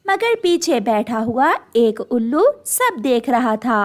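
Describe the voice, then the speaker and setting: A woman's voice: fairly high pitch, big pitch swings, slow. A woman giving scripted narration for a children's story.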